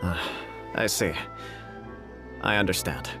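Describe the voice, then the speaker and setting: An actor playing a dignified, courteous samurai official in a video game, speaking very formally. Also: male voice, deep voice, takes it slowly, over music. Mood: polite, accepting. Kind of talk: video game story dialogue acting.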